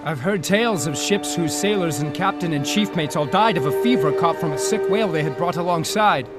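An actor playing a deferential first mate on a whaling ship in an audio drama. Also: male voice, on the high side, a little fast, over loud music, natural voice. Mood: ominous.

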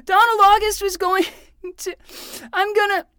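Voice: extremely high pitch, level tone, a little slow, pushed and bright, dry recording, clean studio sound, heavily acted. An actor playing a dramatic twelve-year-old boy who talks like a self-narrating hard-boiled private detective in a fiction podcast. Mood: pained, tearful. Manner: theatrical, self-narrating in third person.

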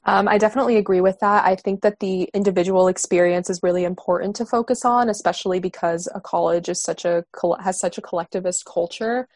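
A woman of about twenty from the Boston area, a student guest on a panel who talks in a direct, quick way. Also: mid-range voice, steady tone, darkish tone, no room sound, clean studio sound. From Boston area, USA. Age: around 20. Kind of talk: discussion on a panel.

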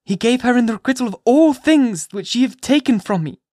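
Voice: medium pitch, animated, fairly quick, darkish tone, no echo, clean studio sound, pitched above the performer's own voice, close to an everyday voice. A reader voicing a desperate young mother who pleads passionately, near shrieking, to keep her child. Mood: anguished. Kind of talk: audiobook reading.

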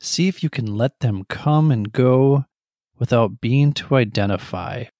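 A middle-aged man guiding a meditation, calmly and gently, in short, measured phrases. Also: medium pitch, no room sound, studio quality. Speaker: meditation guide. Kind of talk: guided meditation narration.

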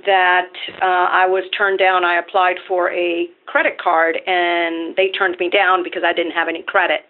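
A woman from Venezuela, a CEO appearing as a podcast guest, speaks in a fairly low voice. She explains her career in a steady, measured way, and her voice comes through a thin, phone-like line. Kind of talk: podcast interview. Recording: tinny phone-line sound.

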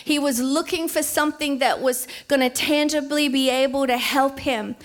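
Woman in her forties, preacher; high voice, emphatic sermon delivery. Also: fairly even intonation. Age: 40s. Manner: emphatic, slowing down to stress key words.

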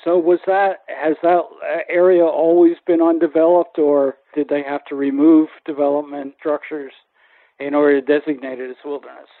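A man's voice: a little high, flat delivery, normal speed, no echo. A man hosting an interview, asking conversational, inquisitive questions. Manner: inquisitive, with frequent brief acknowledgements. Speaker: radio interviewer, host. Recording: dry, narrow phone-like sound.

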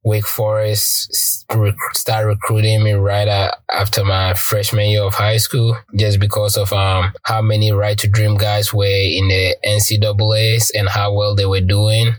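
A young man from Ghana speaks in a low, easygoing voice, pausing often with ums and starting phrases over. The sound is dry and close to the mic. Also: no room sound, rough recording. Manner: relaxed, halting, many ums and restarts. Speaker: guest, professional soccer player. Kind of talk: casual interview.

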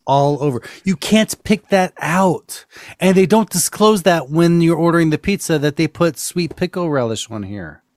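A man hosting a podcast in casual chat, telling things with drawn-out emphasis. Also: a little high, expressive, darkish tone, no echo, clean studio sound. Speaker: podcast host.

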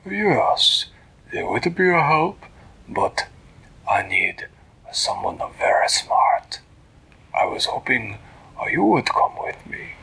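A regal storybook cat king played by a man, speaking in a gravelly half-whisper. The words come deliberately and a little hesitantly, grave and courteous. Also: fairly high pitch, big pitch swings, sharp tone, pitched above the performer's own voice, exaggerated voice. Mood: grave, pleading.

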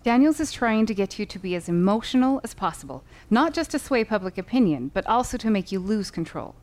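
A woman playing a brisk, exacting lawyer coaching witnesses in an audio drama, authoritative and instructive. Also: mid-range voice, lively intonation, darkish tone, natural voice.

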